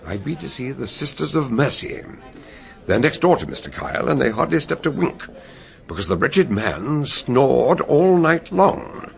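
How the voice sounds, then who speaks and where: An actor playing a genial, chuckling detective who also narrates a radio drama, with a gravelly, husky voice. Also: male voice, mid-range voice, sing-song, a little slow. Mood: amused. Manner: genial, chuckling, fond of long words. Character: Dr Gideon Fell, jovial older male detective narrator.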